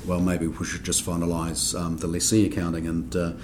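A man from New Zealand with a New Zealand accent, explaining accounting rules on a podcast in a measured way, with frequent ums and restarts. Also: low-pitched, monotone, noisy background. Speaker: accounting standards board member. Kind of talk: podcast discussion.

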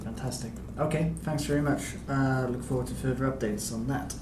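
A man hosting a podcast, briskly thanking his guest and moving on to the next item. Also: mid-range voice, fairly even intonation. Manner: brisk, moves the discussion along. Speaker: podcast host. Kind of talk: podcast discussion. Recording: echoey room with clear background noise.

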